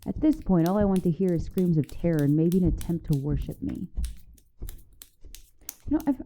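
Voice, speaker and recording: A woman's voice: sits low, warm tone, a little hiss or hum, low-quality recording. A woman voicing a vengeful, teasing young giantess in an ASMR roleplay monologue, mocking and menacing in a playful, theatrical way. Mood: smug. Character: gloating, teasing vengeful young giantess taunting shrunken captive.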